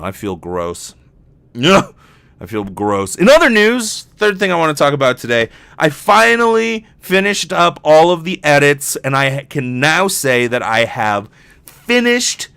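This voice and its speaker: Man, slightly high voice, casual podcast host, rambling, self-deprecating, sweary, very dry close sound. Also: bright, forceful tone, smooth, no room sound.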